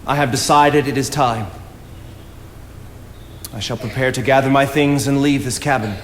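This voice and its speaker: A man acts out a solitary woodland writer in a period stage play. He speaks at a middle pitch, measured and poetic. The voice echoes through a theatre with faint background noise. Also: fairly even intonation, close to an everyday voice. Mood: resolute. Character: Solitary, thoughtful male writer living in the woods.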